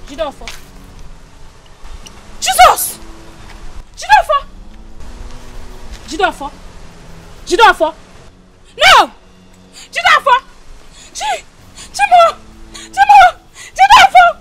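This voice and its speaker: An actress with a Nigerian accent playing a distraught village wife who calls out and wails. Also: female voice, extremely high pitch, very animated, takes it slowly, long pauses, exaggerated voice. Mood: wailing grief. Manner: wailing, shouting a name. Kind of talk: film acting. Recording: loud film music behind.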